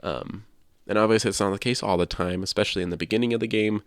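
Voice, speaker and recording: A man's voice: lowish voice, steady tone, fast, no echo, studio quality. A man hosting a podcast, sharing his opinions in casual discussion. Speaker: podcast host.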